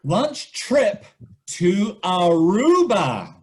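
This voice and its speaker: An actor playing an exasperated, excitable male director of amateur theater in a farcical web comedy. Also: high voice, very slow, exaggerated voice.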